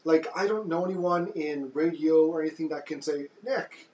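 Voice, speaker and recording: Deep-voiced man in an echoey room. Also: normal speed, a little hiss or hum. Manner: soft-spoken, hesitant, many restarts. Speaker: podcast co-host. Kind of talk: casual chat.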